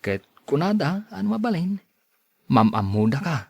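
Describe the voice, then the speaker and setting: A man's voice: on the high side, sing-song, moderate pace, gravelly, a little mellow, no room sound, full character voice. A man acting an earnest, courteous young suitor in a radio drama, with an Ilocano accent. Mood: courting, friendly.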